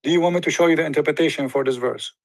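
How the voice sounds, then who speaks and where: A man's voice: a little high, racing, gravelly, no echo. A man hosting a confrontational debate, pressing and sarcastic, cross-examining his guest. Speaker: debate host.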